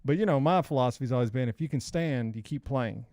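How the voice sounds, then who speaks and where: A man's voice: medium pitch, fast, a little mellow, no room sound. Informal man in his forties, dry close sound. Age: 40s. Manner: informal, slangy, rambling. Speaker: podcast co-host. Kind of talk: casual banter and storytelling.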